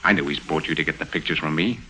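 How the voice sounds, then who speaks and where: An actor playing a ruthless, smugly scheming racketeer, commanding and smooth-talking, in a 1950s radio crime drama. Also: male voice, very deep voice, quick pace, bright, forceful tone, exaggerated voice, poor audio. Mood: persuasive.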